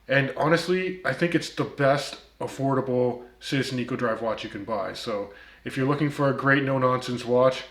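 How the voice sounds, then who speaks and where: A man's voice: mid-range voice, echoey room. A man with a slight Turkish accent, hosting a casual vlog and answering critics with wry comebacks and frequent ums and uhs. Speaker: watch reviewer, channel host.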